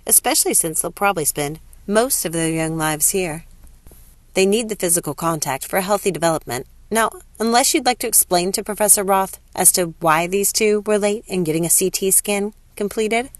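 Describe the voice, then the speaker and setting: A woman's voice: medium pitch, no room sound, lightly acted. A woman reading a story, voicing a confident young nurse who is assertive, pointed and questioning. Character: Grace, confident, assertive young female nurse, easily appalled.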